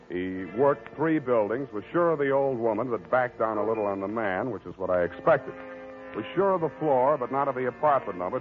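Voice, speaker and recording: An actor playing a wry, weary hard-boiled private detective, narrating and talking in an old-time radio drama. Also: male voice, lowish voice, natural voice. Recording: tinny old radio recording.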